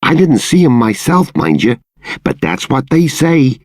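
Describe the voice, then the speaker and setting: A man's voice: medium pitch, big pitch swings, a little slow, no room sound, studio quality. A man playing a secretive townsman in a video game, confiding grim gossip in a storytelling manner. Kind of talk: video game dialogue acting.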